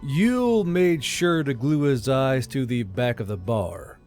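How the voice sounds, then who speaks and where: A man's voice: medium pitch, animated, a little slow. A man reading scripted fantasy fiction as an audiobook narrator. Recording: soft music bed under narration.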